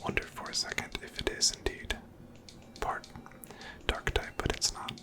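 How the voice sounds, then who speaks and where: Whispering ASMR gamer, fully unvoiced and breathy. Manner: unhurried, musing aloud. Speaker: ASMR game streamer. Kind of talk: whispered ASMR gameplay commentary.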